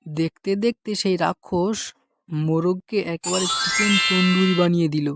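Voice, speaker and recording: A man's voice: sits high, fairly even intonation, normal speed, vocal fry, loud music behind the voice. A man narrating a scripted children's cartoon story as its storyteller.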